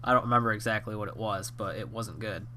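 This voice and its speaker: Rambling young man, bright nasal voice. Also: lowish voice, fast talker. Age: 20s. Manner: rambling with many fillers and restarts. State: nasal and hoarse from a cold. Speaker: YouTube storyteller. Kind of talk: rambling casual storytime.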